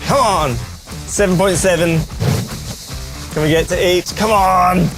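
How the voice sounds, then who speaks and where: A man's voice: sits high, unhurried, loud music behind the voice. A man chatting casually and wryly to camera as a sailing vlogger aboard a boat at sea. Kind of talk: casual vlog narration to camera.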